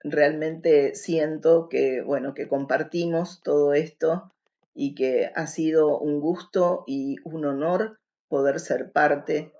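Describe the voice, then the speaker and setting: A man giving a spoken tribute at an event in halting, measured phrases. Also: on the high side, vocal fry, a little mellow, dull audio. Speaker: event speaker.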